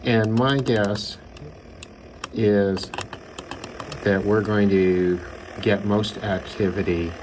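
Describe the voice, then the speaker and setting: A man giving a casual hands-on demonstration, unhurried and thinking aloud as he goes. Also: fairly low pitch, fairly even intonation, background noise. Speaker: radio hobbyist presenter. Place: indoors by a window.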